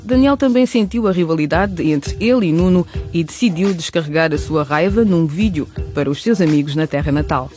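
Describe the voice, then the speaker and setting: Male narrator, high pitch, scripted radio serial announcement, faint music behind. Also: fairly quick, hardly any pauses, darkish tone.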